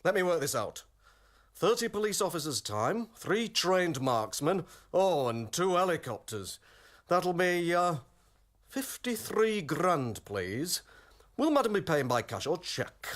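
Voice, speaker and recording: An actor in a comic radio play playing an exasperated police inspector who barks orders with officious, deadpan sarcasm. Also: male voice, a little high, measured pace. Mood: sarcastic, barking.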